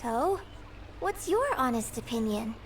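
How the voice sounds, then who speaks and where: A woman's voice: fairly high pitch, very animated, unhurried, noisy background. A woman voicing a poised, earnest young female opera star in a video game, speaking politely and formally. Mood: curious. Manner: polite, composed, formal phrasing. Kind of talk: video game character dialogue. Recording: game music behind the voice.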